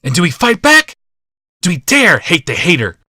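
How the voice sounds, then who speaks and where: A fiery young male rebel as a man acts him out harangues a crowd for an audiobook. His voice is raised, loud and forceful, with bitter, oratorical zeal. The sound is close and dry. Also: a little high, wildly swooping pitch, normal speed, breathy edge, no room sound, voice pushed up, exaggerated voice. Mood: furious, rallying. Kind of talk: audiobook character voice.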